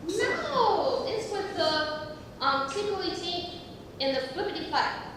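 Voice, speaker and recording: A woman acting in an amateur stage comedy as a giggly character who tells rambling jokes in a halting way. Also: very high voice, sing-song, a bit bright, full character voice. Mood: playful. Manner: halting, slow, rambling explanations. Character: giggly woman slowly telling a rambling joke. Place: theatre stage, picked up from afar. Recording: distant, echoey theatre hall, faint noise.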